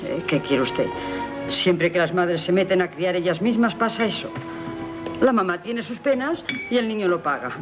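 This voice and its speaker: An actress playing a blunt, complaining nursemaid with a Castilian Spanish accent in a 1970s radio drama. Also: female voice, lowish voice, lively intonation, moderate pace, natural voice. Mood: grumbling. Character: plain-spoken, grumbling female nursemaid servant. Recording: dull vintage radio recording, music behind.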